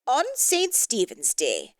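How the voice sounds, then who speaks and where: A woman reading a story aloud in an expressive, theatrical and wry storytelling manner. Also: a little high, takes it slowly, dry recording, clean studio sound. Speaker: story reader. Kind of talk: expressive scripted storybook narration.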